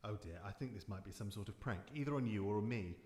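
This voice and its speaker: A man's voice: fairly low pitch, quick pace, a little mellow, close to an everyday voice. Man playing a pompous professor in an echoey hall. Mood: puzzled, polite. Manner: pompous, formal. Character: pompous, sceptical male professor of medicine. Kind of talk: live radio drama acting. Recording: echoey hall, live stage performance.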